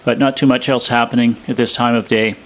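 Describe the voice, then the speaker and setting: A man explaining a demonstration in a measured, matter-of-fact way, with a radio playing. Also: medium pitch, flat delivery, fairly bright tone, slight background noise. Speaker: presenter demonstrating a vintage radio. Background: radio playing music and stations.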